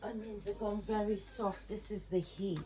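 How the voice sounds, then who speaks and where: A woman's voice: mid-range voice, steady tone, moderate pace, over music. A middle-aged English woman, a home cook, talking in a rambling, chatty, self-conscious way in her kitchen. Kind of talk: casual cooking vlog. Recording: noisy home recording.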